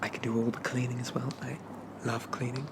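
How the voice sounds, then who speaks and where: A man playing a shy, polite, lovestruck Victorian zombie gentleman, speaking softly and haltingly with flowery apologies in an ASMR roleplay. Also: medium pitch, level tone, heavy background noise, natural voice. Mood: bashful, musing. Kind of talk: ASMR roleplay, soft-spoken character monologue.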